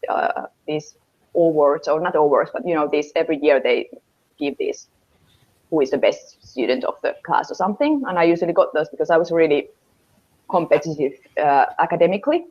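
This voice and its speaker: A woman's voice: sits low, expressive, brisk, a little mellow. Hesitant Finnish-accented young woman. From Finland. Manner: hesitant, searching for English words, many fillers. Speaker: interviewee. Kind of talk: casual interview answers. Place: small room at home, indoors. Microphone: video call. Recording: dull lo-fi video call audio.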